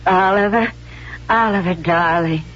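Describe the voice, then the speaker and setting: Husky-voiced, poised young lady. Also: fairly low pitch, measured pace, a bit bright. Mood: affectionate. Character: affectionate, poised young lady accused of spying. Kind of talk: 1970s radio drama acting. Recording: dull vintage radio broadcast recording.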